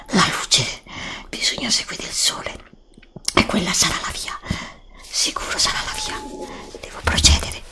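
A woman's voice: lowish voice, sharp tone, heavily acted. A woman playing an anxious, desperate heroine lost in a magic forest, breathily repeating herself in short bursts in an ASMR roleplay. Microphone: close USB studio microphone.

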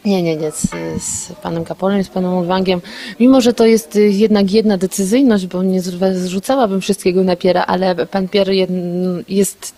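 Candid athlete woman, quick restarts. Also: mid-range voice, fairly even intonation, dark tone. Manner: candid, quick, with many restarts and light laughs. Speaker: athlete being interviewed. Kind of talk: casual post-race interview.

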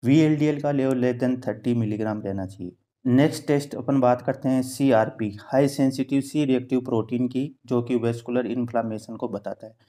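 A man in his thirties, a cardiologist, calmly explaining health tests to camera in a plain indoor room, steadily reading out numbers and ranges. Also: medium pitch, normal speed. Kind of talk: educational health talk to camera. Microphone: camera at close framing, head and shoulders.

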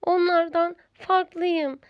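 A woman's voice: very high voice, fairly even intonation, slow pace, dry recording, highs cut off, pitched above the performer's own voice. A woman voicing a shy, sad little storybook hedgehog who speaks hesitantly and plaintively.

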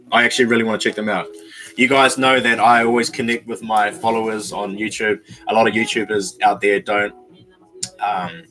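A man's voice: lowish voice, pushed and bright, poor audio. Laid-back young man chatting with viewers. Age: young adult. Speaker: YouTuber reading live chat. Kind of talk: casual livestream chat with viewers. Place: small bedroom, indoors.

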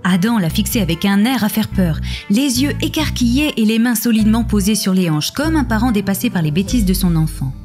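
Mellow female audio fiction narrator. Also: mid-range voice, expressive, fairly quick, few pauses. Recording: music behind the voice.

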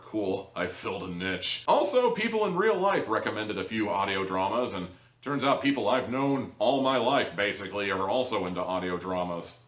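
A man's voice: on the low side, fairly bright tone. A man in his thirties giving a casual, blunt and sarcastic review to camera, sounding exasperated. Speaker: audio drama reviewer, host. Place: living room, indoors. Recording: echoey room, distant microphone.

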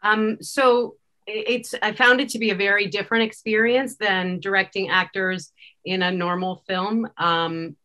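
A woman's voice: mid-range voice, steady tone, moderate pace, pushed and bright. A woman speaking conversationally and emphatically as an interviewed director on a video call. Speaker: podcast director and actor interviewed. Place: indoor room, video call. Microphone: video call microphone.

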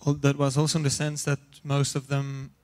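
Flat-voiced audience man, tentative. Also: medium pitch, fairly quick. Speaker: audience member. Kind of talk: audience question. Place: large lecture hall. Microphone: handheld mic.

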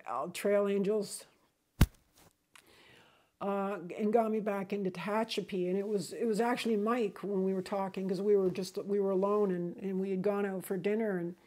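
A woman in her fifties recounts a hiking mishap in a casual, rambling way, often restarting her sentences and brightening when she acts out what people said. Her voice is mellow and soft-edged, picked up by a clip-on mic in a small indoor room. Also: medium pitch. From outside the United States. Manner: rambling, self-correcting, animated when quoting people. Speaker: hiking vlogger. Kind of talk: casual storytelling to camera. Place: small room indoors with LED light bar.

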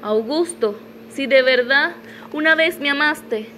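A woman's voice: sits high, slow, bright, forceful tone, slight background noise, exaggerated voice. A woman playing an imperious, desperate mother in a melodramatic radio soap opera. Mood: desperate, pleading. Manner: haughty, melodramatic. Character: imperious, desperate mother confronting her former lover.

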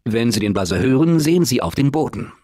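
An actor voicing a polite, unflappable automated announcer in a video game, with formal, measured, deadpan official delivery and a blandly upbeat temper. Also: male voice, medium pitch, heavy background noise, natural voice. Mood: calmly official. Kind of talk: scripted game announcements, German dub.

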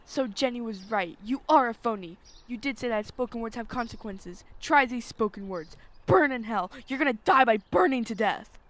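A woman's voice: fairly high pitch, animated, moderate pace, lightly acted. A woman playing a bitter, accusing young girl grieving her friend in a radio drama. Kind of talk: radio horror drama acting.

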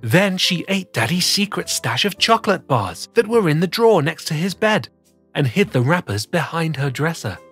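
A children's story host reading a picture book in an expressive, deliberate way for young listeners. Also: mid-range voice, breathy edge, faint music behind. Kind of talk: animated scripted picture-book narration.

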